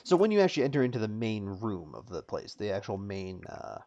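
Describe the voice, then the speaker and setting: A man's voice: on the low side, sing-song, brisk, warm tone, dull audio. A man improvising narration as a tabletop game master, often searching for words and repeating phrases as he describes things.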